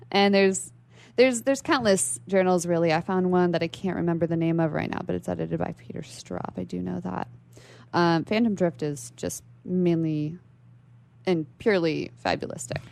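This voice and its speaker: A woman with a fairly low voice and plenty of creak talks as a guest on a radio show. She explains literary ideas thoughtfully, in an unscripted conversational style. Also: normal speed, a little mellow. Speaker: interview guest; library worker.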